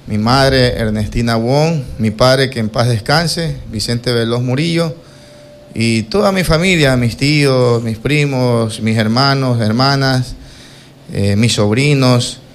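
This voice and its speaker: A man with a coastal Ecuadorian accent speaks as an interview guest in a mid-pitched voice. His phrasing is formal and deliberate, with hesitations as he recounts things about himself. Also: a little hiss or hum. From Quevedo, Ecuador. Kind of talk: autobiographical interview answers.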